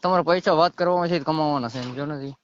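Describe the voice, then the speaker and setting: A man's voice: on the high side, quick pace, a little hiss or hum. A man in his thirties with a Saurashtra Gujarati accent, calling on the phone and arguing in a defensive, insistent way. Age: 30s. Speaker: caller. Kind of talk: argumentative phone conversation. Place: phone call. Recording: muffled phone call recording.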